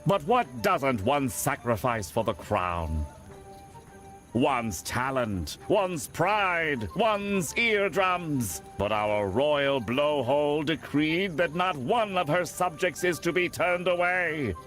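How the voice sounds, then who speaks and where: A man's voice: a little high, animated, takes it slowly, a bit bright, soft background music. A man voicing a weary, snobbish royal talent judge in a cartoon game, pompous and long-suffering. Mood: haughty, weary. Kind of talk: animated video game voice acting.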